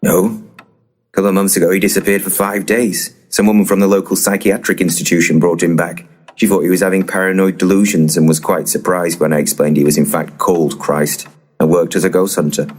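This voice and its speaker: Deep, grumpy, boozy ghost hunter. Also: male voice, little pitch movement, fairly quick. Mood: deadpan. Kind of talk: full-cast comic audio drama.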